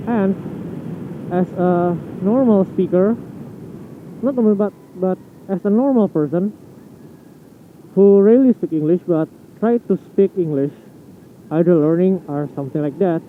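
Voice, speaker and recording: A man's voice: high-pitched, a little hiss or hum. Blunt Indonesian-accented young man, tinny sound. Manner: chatty, blunt, swears casually. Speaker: motovlogger. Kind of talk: casual vlog monologue.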